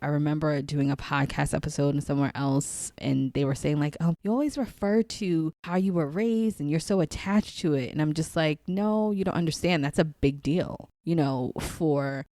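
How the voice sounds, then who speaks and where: An American woman from Queens, New York, chatting casually as a podcast guest and telling stories in an animated way. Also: sits low, normal speed, hardly any pauses, no room sound. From Queens, New York City, USA. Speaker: podcast guest, spiritual guide. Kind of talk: casual chat.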